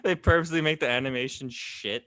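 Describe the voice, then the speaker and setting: A man hosting a casual podcast chat, animated and laughing often, with some swearing. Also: medium pitch, fast talker, dry recording. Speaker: podcast host.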